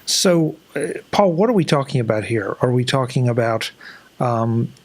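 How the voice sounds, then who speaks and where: An older man speaks as the host of an interview show. His pitch sits in the middle range. He talks conversationally, close to a desk microphone on a video call. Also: lively intonation, moderate pace. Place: small indoor home office on a video call.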